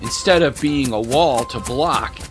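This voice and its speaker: A man's voice: mid-range voice, measured pace. Male audio drama narrator over music. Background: music bed.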